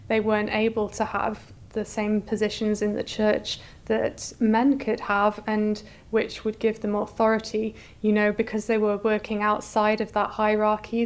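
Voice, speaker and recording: A woman curator gives articulate explanations in an interview. Her voice is clean and resonant, at a middling pitch.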